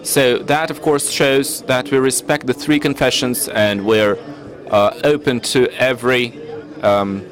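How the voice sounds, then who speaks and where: A man from Turkey whose first language is Turkish, giving a formal, measured panel presentation at a conference and sometimes searching for words. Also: mid-range voice, fairly even intonation, fairly bright tone. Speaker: panel speaker presenting his city. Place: conference hall.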